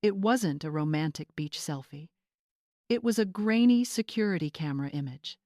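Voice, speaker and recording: A woman's voice: low voice, animated, moderate pace, warm tone, no room sound, studio quality. A woman reading dramatic scripted story narration.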